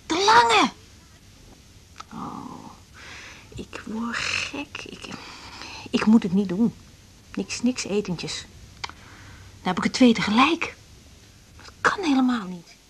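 Guarded young woman doctor, higher-pitched. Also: very animated, takes it slowly, pauses often, slightly rough, exaggerated voice. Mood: torn, upset. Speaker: actor in a radio drama. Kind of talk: radio drama acting.

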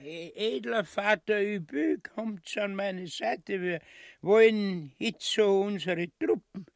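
A foppish, naive Austrian king in a radio farce. He dithers and stammers slowly, in a very high, animated voice with a dry, close sound. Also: gravelly, no room sound, full character voice. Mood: pompous delight. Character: foppish, naive, doddering king, vain about his troops. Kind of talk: farcical radio play acting.

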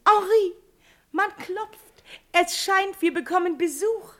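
A woman's voice: extremely high pitch, slow, bright, forceful tone, exaggerated voice. An actress in a German radio play voicing a playful, demanding, capricious female companion with a teasing manner. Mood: casual.

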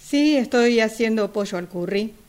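A woman's voice: a little high, animated, normal speed, darkish tone, lightly acted. An Argentine woman playing a blunt, bossy married sister in an amateur radio drama. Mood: casual, curt.

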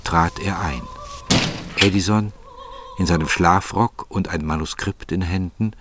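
A man's voice: deep voice, measured pace, background noise. A man reading scripted literary narration in German as the narrator of a story.

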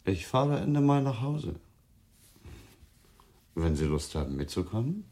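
An actor playing a kindly, consoling bachelor friend in a German radio crime drama, unhurried and familiar in manner. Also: male voice, on the low side, big pitch swings, dark tone, exaggerated voice. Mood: casual invitation. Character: Peter, kindly Irish bachelor, sympathetic friend.

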